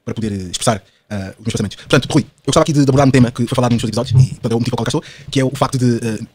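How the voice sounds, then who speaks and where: Fast-talking young Portuguese man, slangy. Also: medium pitch, slightly rough. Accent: European Portuguese. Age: 20s. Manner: animated, slangy, heavy on fillers and tag questions. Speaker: podcast guest. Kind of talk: casual podcast conversation.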